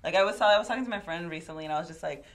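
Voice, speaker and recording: A woman's voice: on the low side, racing. A young woman with a Haitian and Miami accent, talking eagerly as a guest in a small studio, with lots of likes and restarts. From United States. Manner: enthusiastic, many likes and restarts. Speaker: guest, nonprofit organizer. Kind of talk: casual interview talk. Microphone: desk mic on boom.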